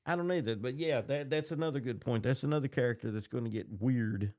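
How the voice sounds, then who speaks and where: A man in a casual podcast chat, informal and opinionated, often halting as he searches for his words. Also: medium pitch, darkish tone. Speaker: podcast co-host. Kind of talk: casual fan discussion.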